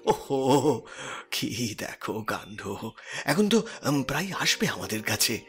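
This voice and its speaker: An actor playing a tender middle-aged husband and father in an audio story, halting and pleading as he holds back tears. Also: medium pitch, big pitch swings, a bit bright, soft background music. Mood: soothing, upset. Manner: halting, pleading, repeats himself.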